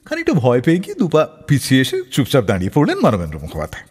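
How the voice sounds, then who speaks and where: Animated husky radio storyteller, male. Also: mid-range voice, rapid-fire. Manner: expressive, acts out quoted dialogue. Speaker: radio show host. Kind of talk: dramatic anecdotal storytelling, scripted radio narration. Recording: long reverb on the voice.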